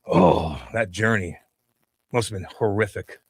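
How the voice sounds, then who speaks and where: A man co-hosting a sports talk radio show, casual banter, loud and emphatic, repeating his points as he argues. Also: low-pitched, unhurried, slightly rough, dry recording.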